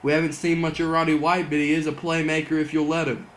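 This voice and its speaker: A young man calls a football video game like a broadcast announcer, his voice sitting a bit high and starting to wear thin from all the calling. He builds to near-shouts on the big plays, and a faint hiss of noise runs under the recording. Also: monotone, fast talker. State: losing his voice. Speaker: gaming channel host doing play-by-play. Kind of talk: mock broadcast sports commentary over gameplay.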